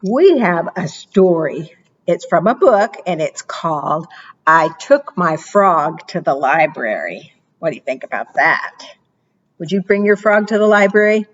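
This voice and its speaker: A woman librarian reading picture books aloud to preschoolers, with expressive, animated delivery, asking the children questions and praising their answers. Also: unhurried, lo-fi sound. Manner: expressive, animated read-aloud with playful pitch jumps.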